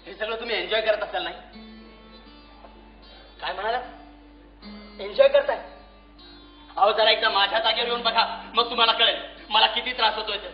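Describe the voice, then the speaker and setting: A man performs an exasperated, put-upon father in a comic stage play. His voice is very high and theatrical as he pleads with his listeners. The recording is muffled and echoes like a hall, with faint music behind. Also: fairly even intonation, fairly quick, long pauses, lightly acted. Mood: aggrieved complaining. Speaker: stage actor and narrator.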